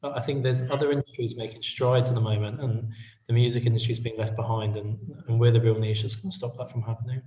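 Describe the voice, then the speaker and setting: A man's voice: mid-range voice, little pitch movement, fast talker, mellow. A man answering interview questions earnestly, with a businesslike tone and many fillers. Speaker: guest, media company co-founder. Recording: roomy audio from far off the mic.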